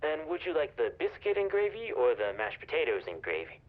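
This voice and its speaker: Lilting male fast-food clerk. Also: fairly high pitch, huge pitch swings, over a noisy crowd. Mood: patient, polite. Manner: scripted customer-service politeness. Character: patient fast-food order taker on the phone. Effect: telephone filter. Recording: muffled recorded phone call.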